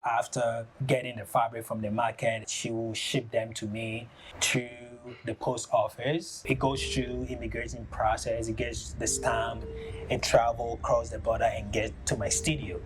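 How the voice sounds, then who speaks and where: A man with a Ghanaian accent, an artist talking through his work in a thoughtful, halting way, often pausing on "you know.". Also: mid-range voice, level tone, music in the background. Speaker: ceramic artist. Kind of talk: reflective studio talk.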